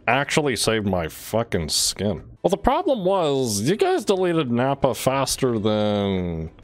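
A man's voice: medium pitch, wildly swooping pitch, slow. A man doing casual, sweary gameplay commentary as a streamer, chatting loosely to his teammates. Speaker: game streamer.

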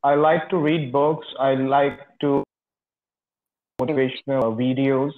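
A man's voice: medium pitch, fairly even intonation, measured pace. Young Pakistani man on a tinny line. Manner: hesitant, short answers with many uh's. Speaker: English teacher practicing conversation. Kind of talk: casual video-call conversation. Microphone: phone held close.

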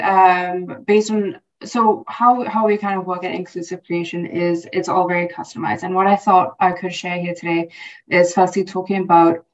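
A woman from Singapore presenting an online workshop, upbeat and chatty with frequent fillers, heard over a video call. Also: fairly low pitch. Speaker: workshop speaker. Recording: distant, dull call audio.

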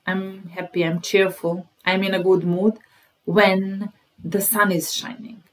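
A woman's voice: mid-range voice, flat delivery, takes it slowly. A woman in her thirties teaching a language lesson, patiently repeating phrases word by word at a webcam in a small room. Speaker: German teacher. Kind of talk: slow explanatory language lesson.

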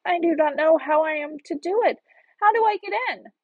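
A woman's voice: sits high, moderate pace, no room sound, phone-quality sound, voice pushed up, natural voice. A woman voicing a frightened young girl in a fairy tale, innocent, plaintive and pleading.

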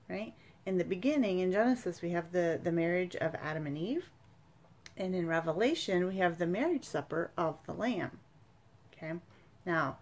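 A woman's voice: on the low side, darkish tone. A woman in her forties giving informal religious teaching to camera, explaining emphatically and often checking for agreement. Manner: explanatory, emphatic, seeking agreement with tag questions. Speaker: church retreat speaker, sacramental prep coordinator. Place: small home study, indoors.

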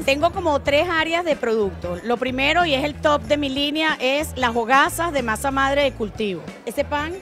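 A woman with a high voice explains her artisan bakery in fluent, detailed answers. She speaks into a handheld interview mic at an indoor expo stand, with faint music behind. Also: fairly bright tone.

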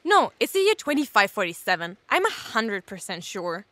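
A woman playing an eager, nosy character in an audio drama, blurting and rambling excitedly. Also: medium pitch, moderate pace, no room sound. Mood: astonished, earnest.

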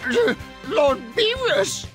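Grumbly old god man, anime game, pitch all over, music behind, kinda fawning. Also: very animated, takes it slowly, exaggerated voice. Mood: fawning, startled. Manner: fawning then crotchety. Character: grumbling, two-faced old male deity.

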